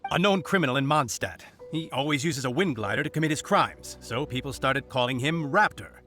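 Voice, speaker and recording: A man's voice: mid-range voice, expressive, fairly quick, pushed and bright. A man playing an officious, dutiful knight on guard duty in an anime-style video game, speaking in a formal, declamatory way. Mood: explanatory. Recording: music behind the voice.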